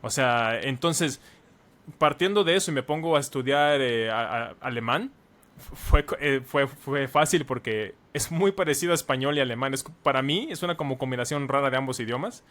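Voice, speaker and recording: A man's voice: mid-range voice, normal speed, fairly bright tone. A man in his thirties, a language teacher and author, talking as a podcast guest and explaining at length with plenty of examples. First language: Spanish. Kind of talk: casual podcast conversation.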